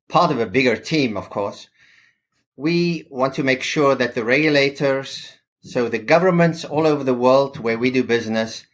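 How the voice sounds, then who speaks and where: Middle-aged man, measured and hesitant, interview guest. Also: medium pitch, fairly bright tone. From South Africa. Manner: thoughtful, hesitant with fillers. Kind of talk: podcast interview.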